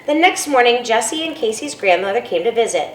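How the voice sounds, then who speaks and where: A woman, a school principal, reading a picture book aloud in an expressive storytelling way. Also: fairly high pitch, brisk, slight background noise. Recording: roomy, from a distance, faint ambience.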